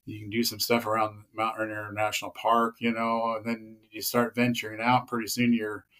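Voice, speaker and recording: A man's voice: on the low side, completely flat delivery, normal speed, fairly bright tone. A man chatting as a podcast guest, rambling with many fillers and restarts. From Pacific Northwest of the US. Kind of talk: casual interview chat.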